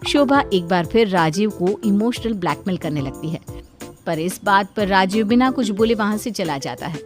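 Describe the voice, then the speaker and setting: Woman storyteller with medium-pitched voice. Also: expressive, moderate pace, over music. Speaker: narrator. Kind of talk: scripted narration of a Hindi animated moral story.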